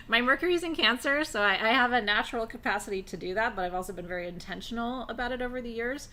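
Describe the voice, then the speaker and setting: A middle-aged woman with a naturally low voice teaching a relaxed, conversational class on spiritual practice, pacing her words deliberately. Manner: conversational, intentional pacing, laughs often. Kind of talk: live online class on shamanic drumming.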